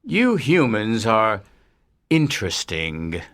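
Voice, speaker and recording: Woman doing a deep-voiced alien teacher. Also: huge pitch swings, takes it slowly, exaggerated voice. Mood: calm, explaining. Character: male alien disguised as a schoolteacher.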